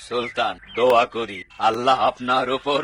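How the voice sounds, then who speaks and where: A man's voice: mid-range voice, steady tone, moderate pace, fairly bright tone, a little hiss or hum, close to an everyday voice. A man voicing a humble, pious master builder in a cartoon dub. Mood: reverent. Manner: humble, reverent.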